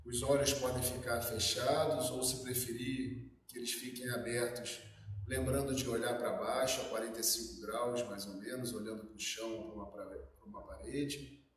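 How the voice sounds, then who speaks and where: A man with a Brazilian Portuguese accent gives calm guided meditation instructions in short, measured phrases. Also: mid-range voice. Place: reverberant indoor room. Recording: very echoey room, distant mic.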